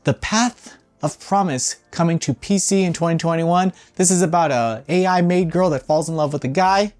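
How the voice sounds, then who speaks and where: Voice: mid-range voice, moderate pace, a little mellow. Casual, wry video game news host. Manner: wry, offhand asides. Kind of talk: casual news rundown with off-the-cuff commentary.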